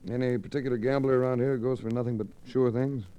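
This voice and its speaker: An actor playing a cool, wry, measured detective hero in 1940s radio mystery style. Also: male voice, mid-range voice, steady tone, mellow, clear, resonant tone, lightly acted. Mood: calm, probing. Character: cool, composed male radio detective narrating his adventure. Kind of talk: 1940s radio acting, first-person narration and dialogue. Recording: faintly noisy old radio recording.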